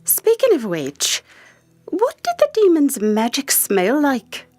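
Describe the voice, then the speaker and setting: A woman's voice: high-pitched, huge pitch swings, takes it slowly, darkish tone, no room sound, faint music behind, heavily acted. A woman playing a brusque, commanding older magical mentor who scolds in an audio drama. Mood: brusque, scolding.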